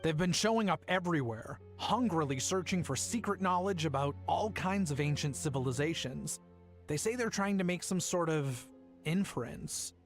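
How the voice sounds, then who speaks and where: A man's voice: on the high side, lively intonation, moderate pace, soft background music. A man playing an anxious, caring, apologetic young man in a video game, earnest and a little hesitant. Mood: cautious, informative.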